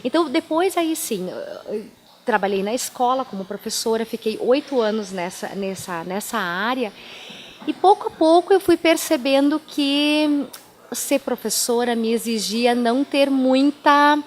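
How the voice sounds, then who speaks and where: Native German speaker from southern Brazil; female pastor, interview guest. Slightly high voice, chatty anecdotal delivery. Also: expressive, unhurried, slight background noise. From Timbó, southern Brazil. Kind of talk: radio interview, life storytelling.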